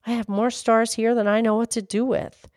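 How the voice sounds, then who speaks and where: A woman's voice: fairly high pitch, flat delivery, moderate pace, a little mellow, no echo, clean studio sound, pitched above the performer's own voice, close to an everyday voice. A woman voicing a chatty little brook in a folk tale, babbling and pleased with itself. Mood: indignant chatter. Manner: babbling, murmuring.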